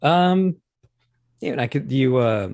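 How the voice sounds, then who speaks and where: A man in a casual interview as a voice actor guest, talking in a halting, wry, joking way. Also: medium pitch, lively intonation, darkish tone, no echo.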